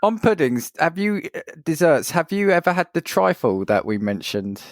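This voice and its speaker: A man's voice: a little high, very animated, normal speed. A British man hosting a podcast, chatting casually, asking questions and laughing easily. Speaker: podcast host. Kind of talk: casual chat.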